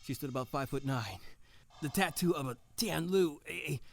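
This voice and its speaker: Detective narrator for audio drama, female actor: lowish pitch, descriptive, measured storytelling of their own case. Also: a little hiss or hum. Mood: measured, observant. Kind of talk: scripted first-person detective narration.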